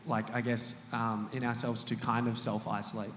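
A man who leads a small church group tells stories at a casual pace, pausing on ums and likes. His voice echoes around a large hall with a long tail, and a faint hum sits in the background. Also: mid-range voice, flat delivery, a bit bright. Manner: storytelling, hesitant fillers. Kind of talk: casual church panel chat.

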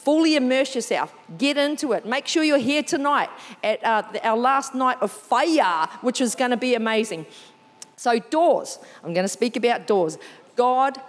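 A woman with a New Zealand accent preaching a sermon, lively and chatty, with asides that poke fun at herself. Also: a little high, in a large hall. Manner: lively, warm storytelling with self-deprecating asides.

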